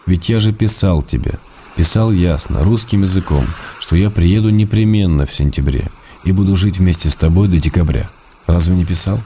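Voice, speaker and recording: An actor playing a weary, exasperated but loving husband, reading his letter with measured, affectionate reproach in a radio play. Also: low voice, mellow, a little hiss or hum, close to an everyday voice.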